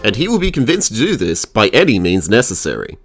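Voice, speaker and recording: A man's voice: fairly low pitch, wildly swooping pitch, brisk, a bit bright, faint music behind. An Australian man delivering a scripted review narration with irreverent, crude humour. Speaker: video reviewer.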